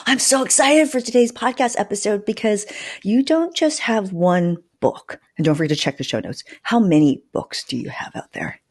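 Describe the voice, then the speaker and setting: A woman's voice: medium pitch, animated, normal speed, darkish tone. A middle-aged woman hosting a podcast in an enthusiastic, informal way, speaking close to a desk mic. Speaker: podcast host. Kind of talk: casual interview and podcast intro. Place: indoor room at home. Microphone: close desk microphone with fluffy windscreen.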